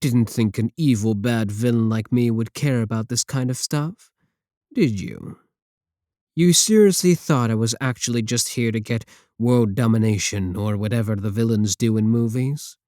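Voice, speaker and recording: A man's voice: mid-range voice, normal speed, warm tone, no echo, close to an everyday voice. A man playing an arrogant, mocking villain scientist in an ASMR roleplay, talking close to the mic in a sarcastic, condescending way. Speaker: voice actor in audio roleplay.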